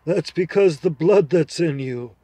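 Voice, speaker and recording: A man voicing a proud, scornful male talking horse in a storybook reading, loud and lecturing. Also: fairly high pitch, measured pace, slightly rough, no echo, voice pushed up. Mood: confiding. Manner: haughty, lecturing, loud and emphatic.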